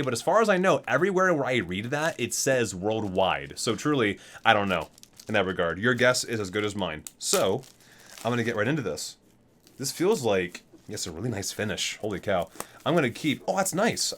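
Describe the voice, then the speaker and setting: A man's voice: on the low side, a bit bright, a little hiss or hum. A man hosting an ASMR unboxing, chatting casually and reading aloud, rambling, correcting himself and marveling at small details. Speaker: video host, gaming fan.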